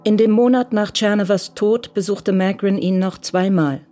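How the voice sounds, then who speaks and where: A woman narrating a radio crime drama in a calm, measured way. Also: medium pitch.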